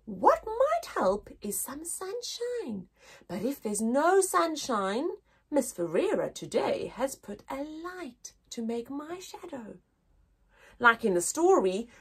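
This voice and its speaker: Slow, high, very animated woman storyteller. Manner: expressive, exaggerated wonder, sing-song storytelling for toddlers. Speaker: children's story-time teacher and host. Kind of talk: animated read-aloud for young children.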